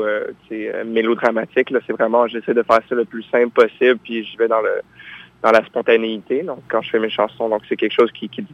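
A young man, answering questions about his music. He halts often, fills pauses with "euh" and restarts his sentences. His voice sits at a middle pitch, and the recording sounds dull and lo-fi. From France. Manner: hesitant, many fillers and restarts. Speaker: singer-songwriter guest. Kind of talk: casual interview answers.

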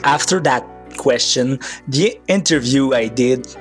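A man's voice: mid-range voice, takes it slowly, soft background music. A male interviewer narrating links between interview segments in an explanatory way.

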